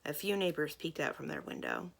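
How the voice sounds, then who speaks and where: A woman's voice: low voice, wildly swooping pitch, brisk, creaky. A woman reading a children's novel aloud as a storyteller. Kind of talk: scripted read-aloud narration of a children's novel.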